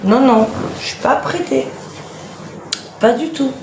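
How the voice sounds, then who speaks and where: A woman's voice: high voice, unhurried. A woman in her late thirties from eastern France with a Franc-Comtois accent, chatting on a home live stream and answering viewer comments in a chatty, emphatic, exasperated way. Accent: Franc-Comtois French. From Franche-Comté, eastern France. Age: late 30s. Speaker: live stream host, mother of a large family. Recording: echoey room, faint background noise.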